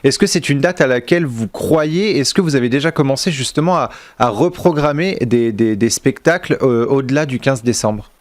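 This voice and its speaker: A man hosting a radio interview, putting his questions in a halting way with repeated words. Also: medium pitch, no echo.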